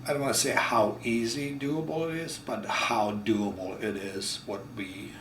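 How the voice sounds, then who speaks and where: A man in his forties from Croatia with a Croatian accent, co-hosting a casual farm chat, halting and repeating words as he talks. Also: mid-range voice, steady tone. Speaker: farm vlog co-host. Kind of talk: casual conversation. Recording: echoey room, mic at a distance, noisy.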